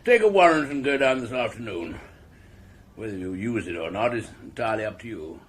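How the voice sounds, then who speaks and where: An actor playing a brisk, authoritative police superior in a radio drama, decisive and clipped. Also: male voice, lowish voice, expressive, natural voice.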